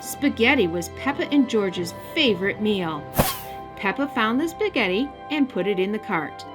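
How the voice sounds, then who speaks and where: A woman hosting a children's story time, narrating a scripted picture book in a deliberate, expressive style. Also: mid-range voice, music in the background. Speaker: storyteller, host.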